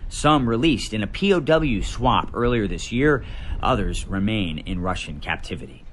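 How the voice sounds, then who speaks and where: An American man, a news correspondent, reading a scripted report voice-over in a dramatic, measured way with deliberate pauses. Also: fairly low pitch, expressive.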